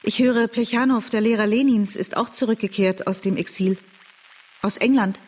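A woman's voice: a little high, moderate pace, darkish tone, breathy edge. Hesitant, undecided woman. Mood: curious. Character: indecisive woman, torn between two arguing sides. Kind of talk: German radio drama acting.

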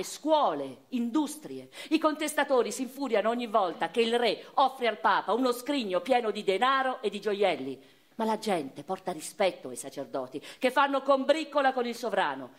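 A woman voicing a serious young male intellectual, explaining in a measured, grave way in a radio play. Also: mid-range voice, lightly acted.

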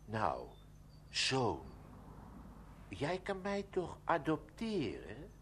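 Radio drama: florid, insistent busybody, stammering and repetitive, extremely animated intonation. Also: male voice, a little high, extremely slow, slight background noise, full character voice. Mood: wheedling. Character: pompous, stammering, pushy stranger offering himself as father. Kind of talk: Dutch radio play acting.

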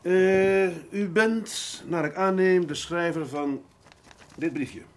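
An actor playing a seasoned, middle-aged police detective in a radio drama, measured and formally polite with a dry, ironic edge and a husky voice. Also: male voice, high-pitched. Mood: courteous, formal. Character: seasoned senior police detective, patient, wry, probing. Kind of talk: Dutch radio drama acting.

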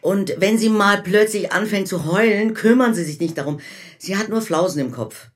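A hard-nosed mother played by a woman talks in a middle-pitched voice. She is businesslike and pushy, laying down terms in the manner of a radio drama. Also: normal speed, natural voice. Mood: brisk, bossy. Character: brisk, bossy, hard-nosed mother arranging her daughter's affairs. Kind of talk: radio play acting.

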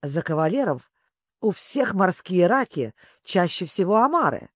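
A storyteller voicing a bossy griffin, matter-of-fact and quick to butt in loudly. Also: medium pitch, very animated, no room sound, studio quality. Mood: boisterous. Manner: matter-of-fact, interrupting, shouting. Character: brisk, bossy, boisterous griffin.

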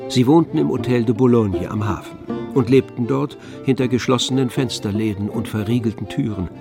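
A man narrating a literary radio play in a composed, measured manner. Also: medium pitch, vocal fry, music in the background, close to an everyday voice.

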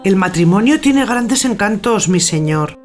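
A woman's voice: mid-range voice, lively intonation, measured pace, faint music behind, lightly acted. An actress playing a persistent, scheming housemaid in a theatrical audio drama, insistent and coaxing with her master. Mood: coaxing, urging. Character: bossy, persistent, scheming housemaid of an old gentleman.

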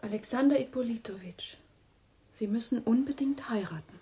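A solicitous wife and hostess in a radio play. Her voice is fairly high and breathy. She is polite, fussing and insistent. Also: moderate pace, warm tone. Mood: gently soothing. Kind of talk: radio drama acting.

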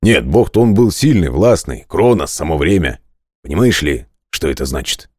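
Mighty ageless god for a storybook played by a man: extremely low, weighty, authoritative, dry sound. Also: very animated, a little mellow, no echo. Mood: confiding, proud. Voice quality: very deep, resonant, clearly enunciated. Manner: weighty, commanding, deliberate. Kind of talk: audio fairy-tale character acting.

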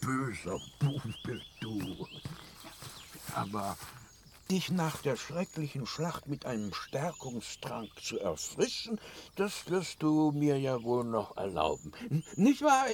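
A scheming older foster father in a fantasy radio play, with a gravelly edge and a voice that swoops up and down. He wheedles and warns ominously, stretching his words theatrically at a slow pace. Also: a little high, sing-song, darkish tone, slight background noise, heavily acted. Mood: wheedling, hurt. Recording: radio play with music underneath.

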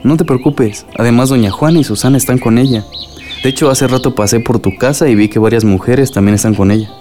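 An actor playing a devoted, reassuring young village suitor in a radio drama, tender and attentive. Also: male voice, mid-range voice, quick pace, dark tone, soft background music, lightly acted. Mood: tender, concerned.

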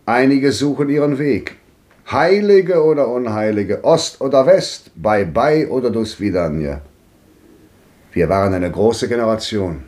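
An actor playing a grandly bitter old man in a 1950s radio drama, declaiming with sweeping swings between loud and hushed. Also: medium pitch, lively intonation, a little slow, natural voice. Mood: brooding. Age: older.

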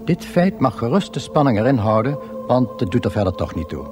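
An actor playing the dryly reassuring narrator of a space radio play, with wry formality. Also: male voice, mid-range voice, very animated, a little fast, lightly acted. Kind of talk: scripted narration. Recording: music behind the narration.

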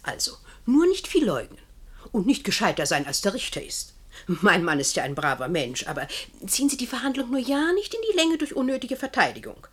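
Smug, meddling judge's wife for radio play: animated, breathy-husky, condescending chatter. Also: female voice, mid-range voice, a little fast. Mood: chatty, admonishing. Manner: gossipy, self-important, condescending.

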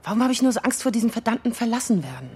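A woman's voice: a little high, very animated, very fast, darkish tone, husky, full character voice. An actress playing an anxious, lovelorn woman in her thirties in a naturalistic radio drama, speaking in agitated, self-doubting phrases. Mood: wistful. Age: 30s.

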